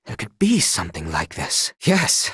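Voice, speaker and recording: An actor voicing a proud young knight from a video game, speaking in stiff, lofty, archaic courtly language. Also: male voice, low voice, huge pitch swings, measured pace, fairly bright tone, husky, no echo, clean studio sound, heavily acted. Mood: awed, curious. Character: proud, formal young knight devoted to his princess. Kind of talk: video game character voice lines, scripted archaic speech.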